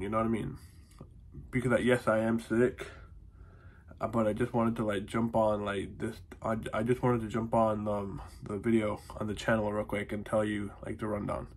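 A young man in his twenties with a stuffy, congested voice, rambling casually to his viewers from a small room at home, full of fillers. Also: medium pitch. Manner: rambling, heavy on fillers and "honestly". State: congested, nasal from a cold. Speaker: vlogger. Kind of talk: casual vlog update. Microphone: phone or webcam close to the face.

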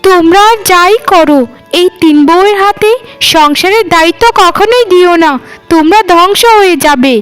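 Woman voicing a high-pitched haughty young bride. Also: a bit bright, rough recording. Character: haughty, bossy young sister and pampered bride. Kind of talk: animated cartoon dialogue.

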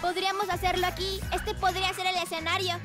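Casting: playful little bike pal in a cartoon, very high, unrushed, enthusiastic, loud music underneath. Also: female voice, flat delivery, fast. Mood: confident, encouraging. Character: keen, playful young motorbike buddy, confident and supportive. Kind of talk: children's cartoon voice acting.

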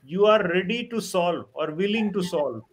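A man in his fifties speaking reflectively as a panelist on a video call, halting and rephrasing as he goes. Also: high voice, expressive. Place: wood-furnished room, on a video call.

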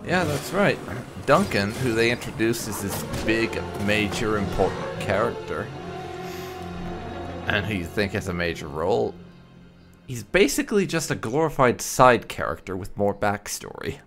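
A man's voice: lowish voice, expressive, measured pace, music in the background. A man hosting a gaming video, giving casual playthrough commentary in a dry, sardonic way.

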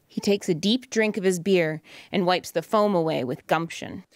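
A woman's voice: lowish voice, normal speed, no room sound, clean studio sound. A woman reading scripted narration and stage directions for an audio drama.

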